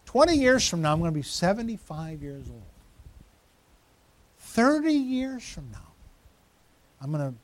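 A man's voice: sits high, very animated, measured pace, long pauses, dry recording. Man in his fifties preaching, dry sound. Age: 50s. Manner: conversational, teasing the congregation by name. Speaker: pastor. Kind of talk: sermon. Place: church.

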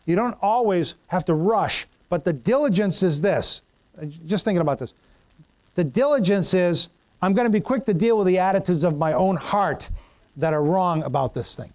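A middle-aged male pastor with a slightly higher voice delivers a folksy, conversational sermon. He trips over Greek words and drifts into homely stories. The sound is dry and close. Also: lively intonation, normal speed, a little mellow, no echo.

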